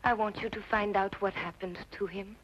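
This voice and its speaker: A woman's voice: medium pitch, steady tone, moderate pace, fairly bright tone, close to an everyday voice. A woman playing a stubborn, prim, lovestruck young lady in an old radio drama. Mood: insistent, defensive. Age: young adult. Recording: dull old radio recording, faint noise.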